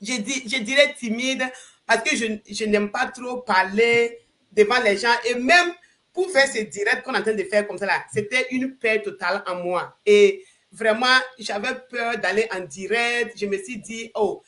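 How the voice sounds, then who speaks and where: A woman's voice: a little high, moderate pace, fairly bright tone. A woman from Burkina Faso hosts a live online chat, hesitating and restarting her phrases. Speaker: livestream host.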